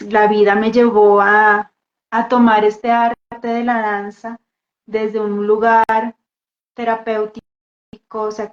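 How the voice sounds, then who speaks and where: A woman with a Bogotá Colombian accent introduces herself casually and reflectively, halting and repeating words as she goes. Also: on the high side. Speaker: guest dance therapist. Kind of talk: casual personal introduction in a live talk. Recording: echoey room, dull muffled audio.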